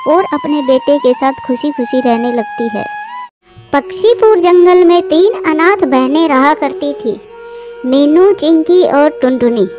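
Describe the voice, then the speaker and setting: A woman reading scripted narration for a children's cartoon story. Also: high voice.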